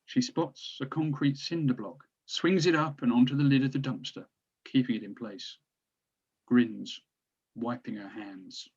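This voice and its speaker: Mid-pitched male narrator, slightly dull recording. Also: unhurried, breathy edge. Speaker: narrator reading stage directions. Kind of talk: scripted narration. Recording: dull, lo-fi audio.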